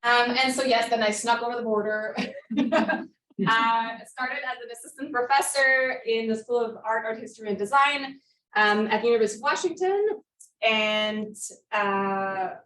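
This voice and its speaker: A middle-aged woman gives an academic talk, friendly and hesitant with many fillers. Her voice sits somewhat high. It is recorded from afar in an echoey lecture room. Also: moderate pace, fairly bright tone. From Montreal, Canada. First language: French. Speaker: guest lecturer, design professor. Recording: echoey room, distant mic.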